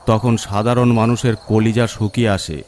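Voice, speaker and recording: A man narrating a scripted horror story in a suspenseful, deliberate manner. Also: fairly low pitch, flat delivery, noisy background.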